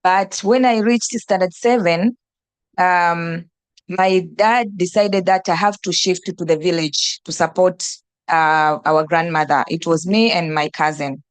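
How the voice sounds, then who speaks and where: A woman's voice: on the low side, fairly even intonation, unhurried, no room sound. Tanzanian woman speaking on a panel. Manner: hesitant, many fillers and restarts. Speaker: NGO executive director and teacher. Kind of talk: panel discussion answer.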